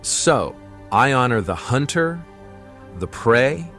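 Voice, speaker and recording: A man voicing a calm, courteous young mystic in a fantasy audiobook, speaking in a measured, formal and philosophical manner. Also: medium pitch, soft background music, pitched above the performer's own voice, heavily acted. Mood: solemn, measured. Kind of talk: audiobook fantasy character acting.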